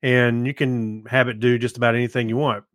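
A man's voice: mid-range voice, level tone, a little fast, no room sound, studio quality. A man co-hosting a podcast, chatting in an easygoing, informal way. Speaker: podcast co-host. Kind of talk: casual chat.

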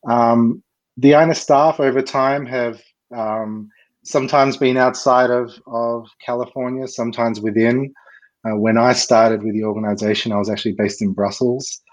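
A man, a guest expert, explaining a technical subject in a measured way with many ums and uhs, speaking over a video call. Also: medium pitch, steady tone, poor audio. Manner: measured, with frequent um and uh fillers.

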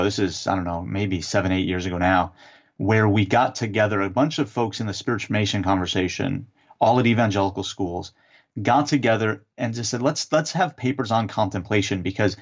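A man answering interview questions as an author and theologian, explaining in a measured, teacherly way with some fillers. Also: fairly low pitch, muffled recording.

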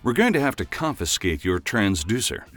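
A man's voice: fairly low pitch, very animated, moderate pace, fairly bright tone, no echo, faint music behind. A man playing a formal, by-the-book time-police officer in an audio drama, with a businesslike official manner. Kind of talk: full-cast audio drama acting.

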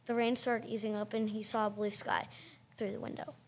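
A woman's voice: medium pitch, little pitch movement, moderate pace. A woman reading scripted narration for an audio drama in a storytelling style.

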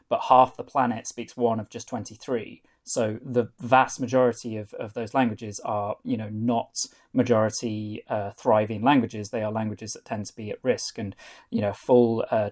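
A man's voice: mid-range voice, a little mellow. A man from North Wales, a Welsh speaker, answering interview questions as an author, earnest, with many restarts and filler words. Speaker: author and guest. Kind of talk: podcast interview.

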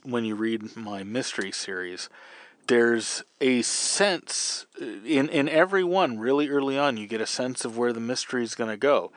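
Guy podcast host explaining stuff conversationally, lowish voice, really dry recording. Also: no echo. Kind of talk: casual advice talk answering a listener question.

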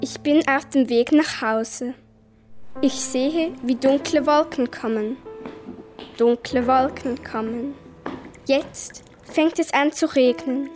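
Slow-reading young girl, high voice. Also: a little mellow, faint music behind. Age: child, 10 to 12. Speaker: young workshop participant reading own story. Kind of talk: scripted reading for a radio play.

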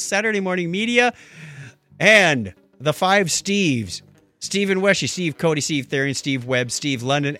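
Animated, higher-pitched American man podcasting. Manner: animated, jokey. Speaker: podcast co-host. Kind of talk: casual podcast chat.

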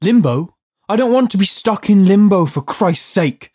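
A belligerent, rattled man from a storybook, acted out by a narrator. His voice jumps high with indignation and he swears freely. The sound is close and dry. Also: animated, moderate pace, dark tone, slightly rough, no echo. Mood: indignant. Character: foul-mouthed, belligerent, rattled man stuck in limbo.

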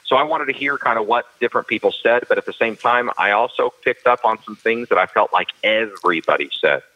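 A man with a carrying baritone voice, a professional auctioneer giving earnest, detailed interview answers with frequent restarts. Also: fairly quick, pushed and bright, lo-fi sound. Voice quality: baritone voice that carries. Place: studio.

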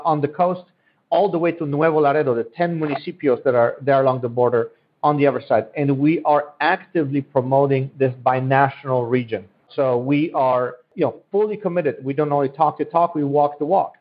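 A man's voice: fairly high pitch, brisk. A man from Central Europe, a native Polish speaker, giving earnest, promotional answers in a podcast interview and often repeating his phrases. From Central Europe, born in Poland, raised in Germany. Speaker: economic development guest. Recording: dull, lo-fi audio.

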